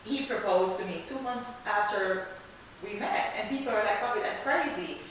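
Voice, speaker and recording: A woman's voice: medium pitch, moderate pace. A woman hosting a talk show, chatting in a conversational interview style. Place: echoey indoor room. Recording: echoey room, distant mic, hiss.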